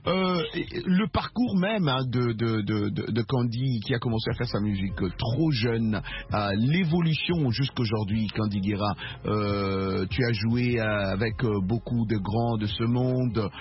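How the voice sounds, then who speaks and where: A man's voice: mid-range voice, a little slow, dull audio. A man from Congo hosting a radio interview, asking long questions with many fillers and stammered repeats. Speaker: radio host.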